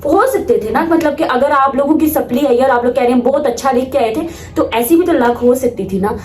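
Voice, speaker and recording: A young Pakistani woman in her late teens speaks in a high voice, in the casual style of a student vlogger. She is emphatic and opinionated and drops English phrases into her talk. A faint noise sits behind her voice. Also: brisk, darkish tone, echoey room. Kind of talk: casual vlog talk to viewers.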